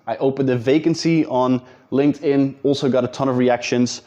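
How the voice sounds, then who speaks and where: A man's voice: medium pitch, fairly even intonation, normal speed, dark tone. A man with a Dutch accent, a business coach talking casually and directly to viewers in an advice video. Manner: direct, informal, addresses viewers as guys.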